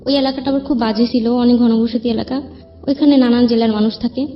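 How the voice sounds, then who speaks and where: A woman's voice: fairly high pitch, steady tone, fairly quick. A young woman with a Bangladeshi accent tells her life story in an interview in a colloquial, rambling way. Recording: muffled lo-fi audio, music behind. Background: background music.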